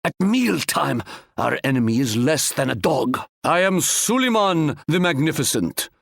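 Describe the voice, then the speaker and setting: A man voicing a proud, boastful male sultan in a video game, speaking in a grand, measured way and fond of stately proverbs. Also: fairly high pitch, very animated, gravelly, dry recording, studio quality, exaggerated voice. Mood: regal.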